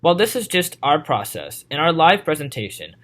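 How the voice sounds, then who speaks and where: A man's voice: a little high, sing-song, brisk, fairly bright tone. A teenage boy explaining a project through a simple example, speaking from a room at home over a laptop mic. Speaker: student presenter. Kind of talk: prepared project presentation.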